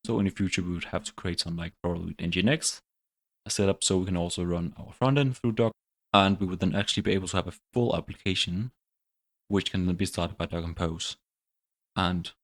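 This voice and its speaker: Low gravelly-voiced man explaining code. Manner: relaxed, thinking aloud with many fillers. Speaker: programming channel host. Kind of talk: casual screen-recorded coding tutorial.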